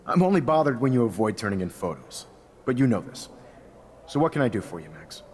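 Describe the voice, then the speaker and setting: An actor playing a composed, gently probing middle-aged teacher in a video game. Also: male voice, medium pitch, big pitch swings, fairly quick, slight background noise, natural voice. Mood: attentive. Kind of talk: naturalistic video game acting.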